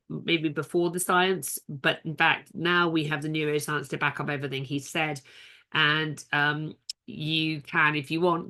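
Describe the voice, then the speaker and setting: A woman's voice: sits low, sharp tone. A woman giving an online seminar in a chatty, rambling way, sounding nasal from a cold. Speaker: seminar coach.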